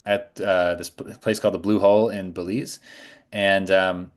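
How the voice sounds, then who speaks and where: A man in his forties chatting casually as a podcast guest, thoughtful and a little halting as he phrases things. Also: low voice, flat delivery. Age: 40s. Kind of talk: casual chat.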